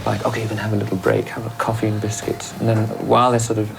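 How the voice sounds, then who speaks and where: Gravelly man musing about sessions. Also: lowish voice, flat delivery, quick pace. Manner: chatty, hedging with fillers. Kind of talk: casual interview. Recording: interview with guitar music underneath.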